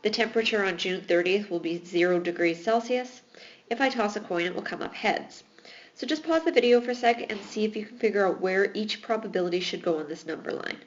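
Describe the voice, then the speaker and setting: A woman's voice: medium pitch, a little fast, muffled recording. A woman teaching math in a recorded lesson, explaining patiently while losing her voice. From Alberta, Canada.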